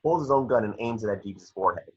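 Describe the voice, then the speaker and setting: A man's voice: lowish voice, expressive, quick pace, slightly rough. A man reading scripted action descriptions aloud as narrator of a film table read, occasionally stumbling. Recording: dry, dull lo-fi home recording.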